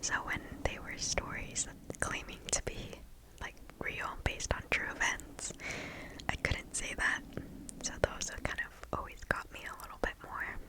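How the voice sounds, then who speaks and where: A woman hosting ASMR, reading and chatting in a soft, breathy, unvoiced whisper very close to the microphone. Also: rough recording. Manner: soft, slow, unhurried. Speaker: ASMR video host.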